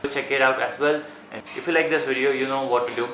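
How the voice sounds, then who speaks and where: A man's voice: mid-range voice, fairly even intonation, quick pace. A man with an Indian accent giving casual, informal unboxing commentary to viewers, often repeating himself and calling them guys. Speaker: vlogger reviewing gadgets. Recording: echoey room with faint background noise.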